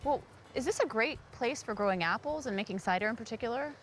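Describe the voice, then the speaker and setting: Bubbly woman host, lively voice, outdoors. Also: fairly high pitch, fast, soft background music. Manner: bubbly, enthusiastic questioning. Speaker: travel show host. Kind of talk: on-location interview. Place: outdoors on farms. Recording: outdoor field recording with noise.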